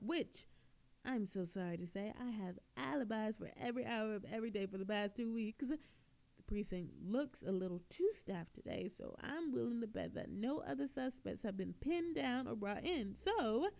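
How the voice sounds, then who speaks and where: A woman's voice: mid-range voice, a little mellow, close to an everyday voice. A woman playing a smug, flirtatious thief in an audio roleplay, teasing and mocking a detective in a playful, theatrical, coy manner.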